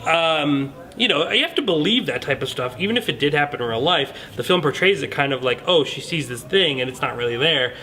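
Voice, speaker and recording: A man's voice: fairly high pitch, expressive, fairly quick, few pauses, bright, forceful tone. A man talking through his opinions on a film in a casual, earnest, conversational way, hedging as he goes. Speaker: film review show host.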